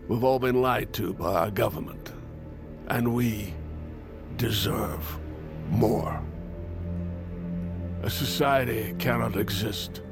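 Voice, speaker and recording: Sincere unsure hero, lowish voice, unhurried halting delivery, solemn, audio drama. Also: male voice. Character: earnest, uncertain young man turned truth-telling broadcaster. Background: clear dramatic music.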